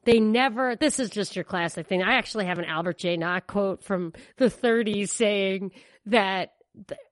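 A woman's voice: medium pitch, moderate pace, no echo, studio quality. An American woman co-hosting a podcast, giving casual, opinionated and emphatic political commentary. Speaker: podcast co-host.

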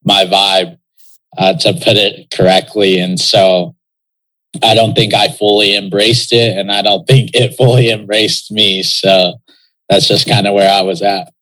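A man's voice: fairly low pitch, level tone, a little slow, fairly bright tone, poor audio. A young man from Texas, a pro soccer player talking as an interview guest in a loose, reflective way, with lots of fillers. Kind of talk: casual interview talk.

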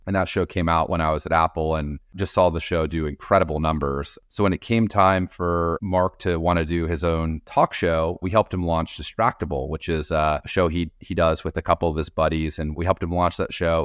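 A man's voice: deep voice, steady tone, a little fast, few pauses, no room sound, studio quality. A man answering interview questions about his company, thoughtful, with fillers and restarts. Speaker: podcast network executive.

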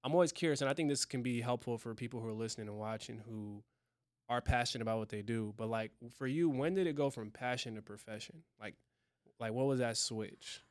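A man's voice: medium pitch, brisk, no room sound, studio quality. A man hosting a podcast, chatting casually, affirming his guest and asking reflective questions. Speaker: podcast host. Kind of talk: casual chat.

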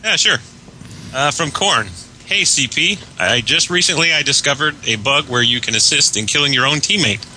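A man's voice: a little high, animated, moderate pace, pushed and bright, a little hiss or hum, poor audio. A man guesting on a podcast, reading listener mail aloud.

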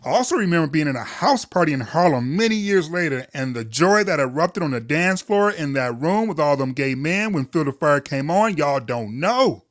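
A man's voice: a little high, lively intonation, normal speed, few pauses, dry recording, studio quality. A man hosting an interview who speaks playfully and effusively, dropping sassy asides. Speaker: podcast host. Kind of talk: conversational interview.

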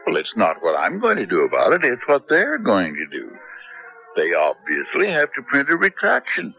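An actor playing an indignant man in a radio Western, brisk and exasperated. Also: mid-range voice, wildly swooping pitch, creaky. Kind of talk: 1970s radio drama acting. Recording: dull old radio recording, faint music.

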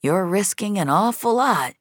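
A man's voice: sits high, lively intonation, unhurried, fairly bright tone, no echo, studio quality, voice pushed up, full character voice. A woman voicing an older retired man, wry and reassuring, in an audio drama. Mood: probing.

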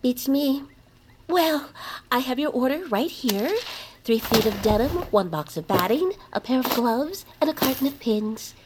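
Polite shopkeeper creature: high voice, very slow and deliberate, cartoon audio drama with faint background noise. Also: female voice, animated. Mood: helpful. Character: helpful, unhurried shopkeeper creature, easily puzzled by counting. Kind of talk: audio fiction cartoon acting.

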